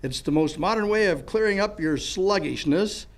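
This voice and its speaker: A man's voice: high voice, normal speed, heavy background noise. A man reading scripted narration and announcements in the style of an old-time radio show.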